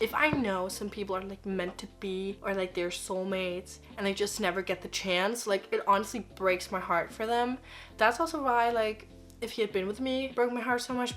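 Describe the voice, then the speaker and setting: A woman's voice: medium pitch, fairly bright tone. An 18-year-old woman from Denmark, chatting casually to camera as a book vlogger, gushing and full of "like" fillers. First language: Danish.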